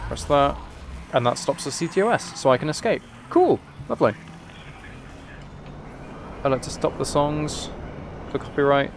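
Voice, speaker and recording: A man's voice: on the high side, sing-song, normal speed, long pauses, a little hiss or hum. A man giving casual, playful gameplay commentary, often talking to himself. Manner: playful, talks and sings to himself. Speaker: game streamer.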